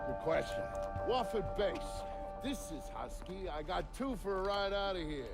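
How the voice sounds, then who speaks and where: High, animated soldier, echoey. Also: male voice, a little slow, gravelly, full character voice. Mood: determined. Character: brash loyal American soldier under fire, adult man. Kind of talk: dramatic video game acting. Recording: roomy echo, music and vehicle sounds behind.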